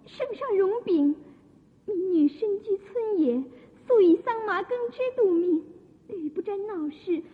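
Very high-voiced, plaintive village maiden. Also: female voice, expressive, a little slow. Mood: tearful, aggrieved. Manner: formal, deferential, plaintive pleading. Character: humble, distressed young village maiden resisting the emperor. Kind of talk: theatrical Chinese radio drama acting. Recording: dull audio with long hall reverb.

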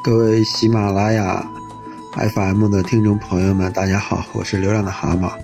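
A man's voice: on the low side, level tone, moderate pace, gravelly. A man giving an informal promotional greeting as a novel author. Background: music.

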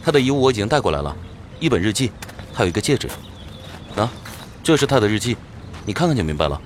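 A man's voice: fairly low pitch, big pitch swings, fast talker, slight background noise. A man playing a brash, theatrical young warrior and charmer in a fantasy audio drama. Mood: solemn. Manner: swaggering, theatrical. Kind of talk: Chinese audio drama acting.

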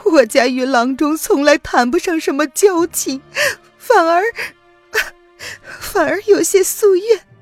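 A woman narrator voicing a desperate young woman who pleads in a high, tearful voice. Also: slow, pauses often, soft background music. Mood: pleading.

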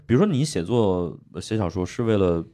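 A man's voice: deep voice, expressive, brisk, dark tone. A man hosting a podcast with casual, comedian-style banter. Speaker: podcast host, stand-up comedian.

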